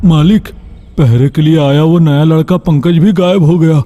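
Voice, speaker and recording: A man's voice: fairly high pitch, fairly even intonation, moderate pace, dark tone, muffled recording, slight background noise, pitched above the performer's own voice, lightly acted. A man voicing an obedient male servant in a cartoon, reporting deferentially to his master. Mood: grave, deferential.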